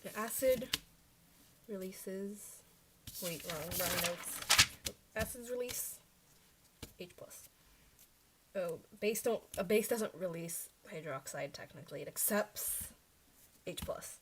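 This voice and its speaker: A woman's voice: medium pitch, takes it slowly, long pauses, background noise. A young woman whose first language is Chinese, talking to herself as she checks her study answers on a casual livestream into a desk microphone, often correcting herself.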